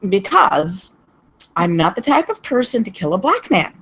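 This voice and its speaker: A self-righteous, oblivious woman on trial, acted by a woman in a comedy table read. She is insistent, with lively swings in pitch. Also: moderate pace, breathy edge, heavily acted. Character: smug, oblivious, bigoted woman defendant.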